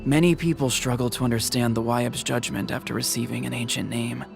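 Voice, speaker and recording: A wise older chief and mentor in a video game. His medium-pitched voice is measured and formal, with a kindly, reassuring manner. Music runs clearly behind him. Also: steady tone.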